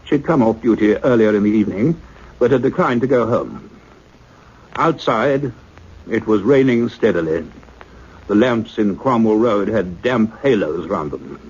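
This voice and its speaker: A man's voice: lowish voice, lively intonation, unhurried, a little mellow, breathy edge. An actor playing an earnest male scientist, speaking in a precise, formal radio-play manner. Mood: measured, grave. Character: earnest male scientist doctor narrating his investigation. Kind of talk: radio play acting and narration. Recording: dull, lo-fi radio drama recording.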